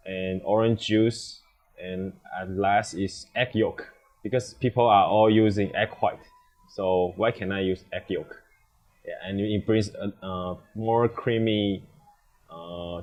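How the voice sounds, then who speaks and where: Young taiwanese bartender guy, lowish voice, kinda halting english, casual interview. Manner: halting, hesitant non-native English. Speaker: bartender, competition contestant.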